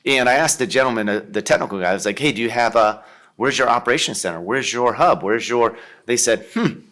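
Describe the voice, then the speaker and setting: A man's voice: mid-range voice, quick pace, a bit bright. A man in his fifties with an Ohio accent, chatting as a podcast guest in a jokey, rambling way. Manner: jokey, rambling, leans on "kind of". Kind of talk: casual interview chat.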